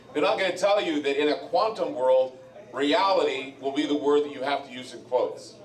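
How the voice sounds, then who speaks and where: A man who hosts a radio show, talking live to an audience at an event in a loose, rambling way, often repeating words as he goes. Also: on the high side. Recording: roomy live venue, mic heard from a distance.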